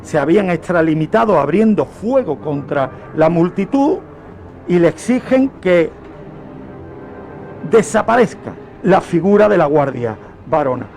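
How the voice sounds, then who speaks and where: A man's voice: fairly high pitch, very animated, unhurried, darkish tone. A man with an accent from Spain telling history on a radio talk show, emphatic and repeating phrases for effect. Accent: Spanish from Spain. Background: faint music.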